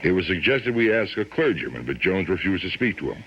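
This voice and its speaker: A man's voice: low-pitched, sing-song, brisk. An actor playing a blunt, pressing male police lieutenant in a radio drama. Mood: grim, reporting. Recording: dull lo-fi radio broadcast, background noise.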